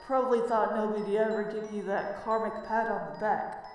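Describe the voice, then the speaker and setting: A woman's voice: medium pitch, steady tone, fairly quick, lightly acted. A woman voicing a laid-back, loyal friend in an amateur audio drama, speaking casually and earnestly. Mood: earnest, encouraging. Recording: distant, heavily echoing hall, music behind.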